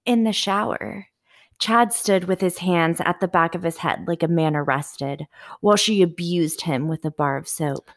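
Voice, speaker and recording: A woman's voice: fairly low pitch, moderate pace, close to an everyday voice. A woman playing the sultry narrator of a steamy erotic audiobook. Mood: sultry, measured.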